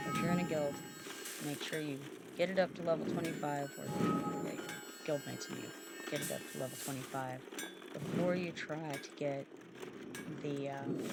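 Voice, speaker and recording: Gravelly, stuffy-voiced game streamer. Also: medium pitch, very noisy. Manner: relaxed, hesitant, many fillers and restarts. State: stuffy and sniffling from allergies. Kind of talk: casual gameplay commentary. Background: loud background music.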